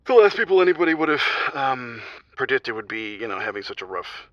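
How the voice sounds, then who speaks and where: A man playing a grieving married father calling an advice show, speaking haltingly and confessionally, on slightly muffled audio. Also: mid-range voice, sing-song, fairly bright tone, no echo. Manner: halting, subdued, trailing off. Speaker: caller.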